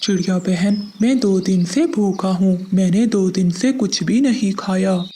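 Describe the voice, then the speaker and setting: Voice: mid-range voice, a little slow, warm tone, slightly rough. Performer playing a male pigeon, hungry, plaintive, pleading, children's cartoon dialogue. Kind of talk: animated children's moral story dialogue.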